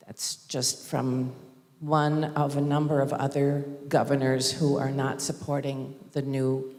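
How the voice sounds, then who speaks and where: A woman giving a public comment at a school board meeting, halting with fillers and restarts, speaking into a podium microphone. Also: very deep voice, level tone, a little mellow. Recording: long reverb in a large hall.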